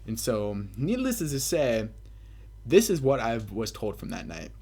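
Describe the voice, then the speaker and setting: A man's voice: medium pitch, huge pitch swings, measured pace, mellow. A young man telling a casual, rambling story as a gaming commentator, swearing freely with self-mocking bravado. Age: young adult. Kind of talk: casual rambling party storytelling over gameplay.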